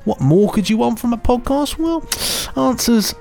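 A man co-hosting a podcast, chatting playfully and enthusiastically, sometimes stammering in quick bursts. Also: very high-pitched, expressive, creaky, dark tone, soft background music. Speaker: podcast co-host. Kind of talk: casual podcast chat.

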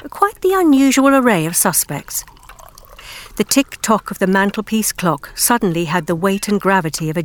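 A woman playing a poised young narrator, measured, precise and wry in an audiobook story. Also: medium pitch, lightly acted.

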